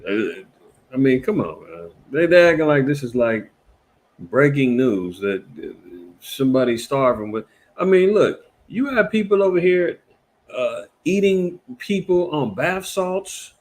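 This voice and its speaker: A man, hosting casual reaction commentary in an animated, emphatic, rambling way, full of repeats and fillers. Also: medium pitch, unhurried. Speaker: commentary show host.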